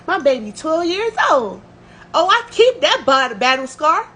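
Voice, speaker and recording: A woman's voice: high voice, sing-song, measured pace, pushed and bright. A woman telling a personal story on a livestream in a candid, emotional, emphatic way. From United States. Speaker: livestream host. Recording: clear background noise.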